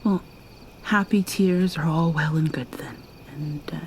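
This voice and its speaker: A woman voicing a gentle young mermaid in an ASMR roleplay, speaking softly and hesitantly, often in a whisper. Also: low-pitched, a little slow, gravelly, a little hiss or hum. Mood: shy.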